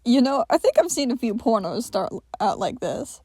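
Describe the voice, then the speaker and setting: A woman's voice: a little high, big pitch swings, moderate pace, a little mellow, slightly rough, dry recording, studio quality. A woman voicing a flippant, wisecracking man in an audio story, casual and joking. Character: flippant, unhelpful wisecracking man named Johnny.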